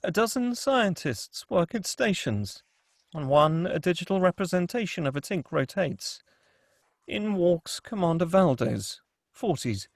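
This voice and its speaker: A man narrates a screenplay at a table read. His voice is fairly high with a gravelly creak, and the sound is close and dry. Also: animated, measured pace, no room sound. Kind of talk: scripted narration.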